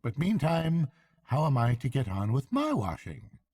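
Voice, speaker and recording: A man's voice: mid-range voice, huge pitch swings, a little slow, a little mellow, slightly rough, no echo, clean studio sound, voice pushed up, full character voice. A man voicing a hearty, shrewd barge woman in a storybook reading, chatty and falsely respectful. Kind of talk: storybook character voice.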